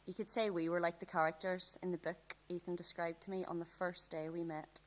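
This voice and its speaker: A woman's voice: fairly low pitch, little pitch movement, fairly quick, close to an everyday voice. An actress playing an open, tender, lovestruck teenage girl in a radio drama, speaking in a natural, hesitant way. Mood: wistful narration.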